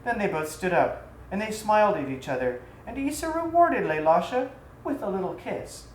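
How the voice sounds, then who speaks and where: A woman narrates an exotic story with expressive, theatrical delivery. Her voice sits at a middle pitch. The room adds clear echo, and there is faint noise behind her. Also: moderate pace. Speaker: storyteller reading aloud. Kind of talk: scripted narration of an exotic tale.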